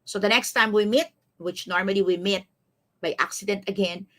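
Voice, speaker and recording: A woman in her fifties speaks with a Filipino accent at a middling pitch. She is a life coach hosting a live online talk, repeating key phrases and regularly checking in with her listeners. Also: steady tone, normal speed, fairly bright tone. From Philippines. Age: 50s.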